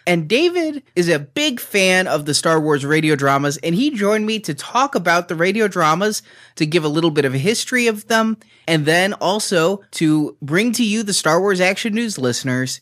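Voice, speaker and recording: A man with a fairly high voice hosts a podcast. He talks conversationally, as in an interview with a guest. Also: a bit bright. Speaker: podcast host.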